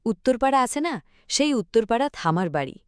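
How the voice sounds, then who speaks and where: A woman's voice: a little high, animated, fast talker, smooth, natural voice. A woman voicing a curt, touchy village wife in a cartoon, with a northern Bengali Rangpuri accent. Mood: indignant, defensive. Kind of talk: cartoon dialogue in village dialect.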